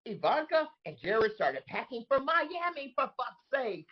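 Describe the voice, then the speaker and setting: Woman acting husky, animated, aggrieved disgraced crony. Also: sits high, unhurried, lo-fi sound, exaggerated voice. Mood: exasperated. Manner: indignant, emphatic, dramatic. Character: Rudy, aggrieved, bewildered disgraced man trapped in woman's body. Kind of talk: comedic table-read acting.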